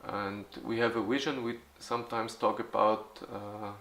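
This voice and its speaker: Man, 40s, low flat voice, answers haltingly with fillers, echoey room. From Austria. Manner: halting, with frequent fillers and restarts. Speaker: youth football club organizer. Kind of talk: interview answers in English.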